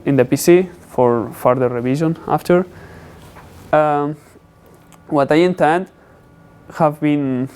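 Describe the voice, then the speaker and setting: Clear-voiced man, halting technical presenter. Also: medium pitch, darkish tone. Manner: halting, non-native English phrasing. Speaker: student presenting a capstone project.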